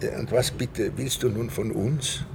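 An actor playing a harried, sardonic police detective in a radio drama, with questioning, halting delivery. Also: male voice, mid-range voice, gravelly, darkish tone, a little hiss or hum. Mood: irritated, sardonic.

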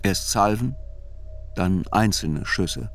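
A man reads a scripted literary radio narration in a measured, sober way. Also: on the low side, gravelly, soft background music.

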